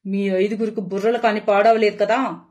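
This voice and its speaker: A woman's voice: medium pitch, fairly even intonation, moderate pace, a little mellow, natural voice. An exasperated middle-aged mother from a cartoon story, played by a woman narrator. She scolds in a sarcastic, impatient manner. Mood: scolding.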